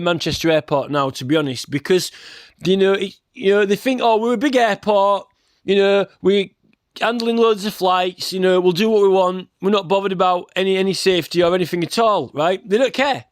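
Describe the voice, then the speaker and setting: Bloke, pretty high voice, dry sound, rambling on insistently like he's got a complaint. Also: no room sound. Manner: aggrieved, insistent, repeatedly tags points with "right?". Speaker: complainant campaigning about aviation safety. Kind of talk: rambling informal spoken monologue.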